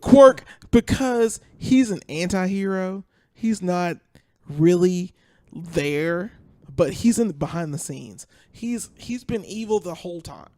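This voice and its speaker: A man with a fairly high-pitched voice chats casually as a podcast guest. He is emphatic, often repeating a phrase to drive it home. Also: lively intonation, takes it slowly, dark tone, no echo. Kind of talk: casual chat.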